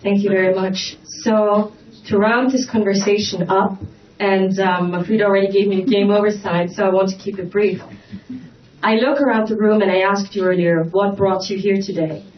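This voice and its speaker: A woman moderator closes the panel in a gracious, unhurried way. Her voice comes from a distance, dull and echoing, with a light hiss in the background. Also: mid-range voice, steady tone. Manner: gracious, wrapping up. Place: conference hall. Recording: echoey, muffled, distant mic with faint noise.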